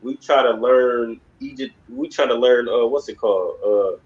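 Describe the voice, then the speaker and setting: Guy teaching a religion class, keeps circling back and repeating himself, mid pitch, dull lo-fi sound. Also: moderate pace, a little mellow. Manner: rambling, emphatic, repeats "you know what I mean". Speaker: class instructor.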